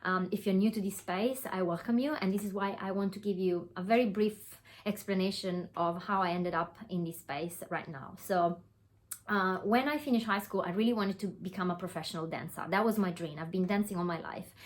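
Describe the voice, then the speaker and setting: A woman's voice: medium pitch, brisk. A woman in her thirties from Italy with an Italian accent, talking straight to her phone camera in an earnest way, with many fillers and restarts. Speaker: online business coach. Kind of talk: direct-to-camera webinar talk. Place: home room.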